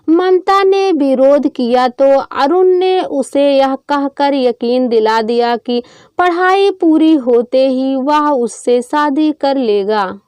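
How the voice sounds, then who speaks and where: A woman's voice: sits high, a little mellow, no room sound. A woman narrating a scripted story as a storyteller.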